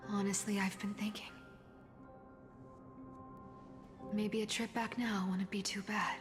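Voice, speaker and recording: A sly young woman thief from a game, acted by a woman, with a mid-pitched voice. She is defiant and guarded, with a teasing, sardonic delivery. Reverb trails her words and music plays behind. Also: flat delivery, moderate pace, lightly acted. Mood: wistful. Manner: teasing, sardonic, breathy asides.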